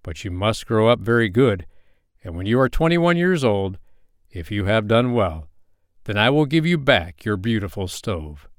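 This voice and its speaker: A man voicing a kind, gentle young king, speaking in a measured, reassuring way in a children's bedtime story. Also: lowish voice, big pitch swings, no room sound, studio quality. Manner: kindly, measured.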